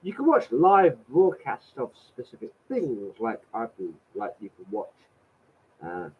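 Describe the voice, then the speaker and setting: A man's voice: medium pitch, huge pitch swings, measured pace, slightly rough. Sarcastic man with a cold. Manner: exasperated, sarcastic, swears. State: has a cold, slightly nasal. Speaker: vlogger reading out a letter. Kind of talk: sarcastic commentary on a letter. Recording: tinny phone-like audio.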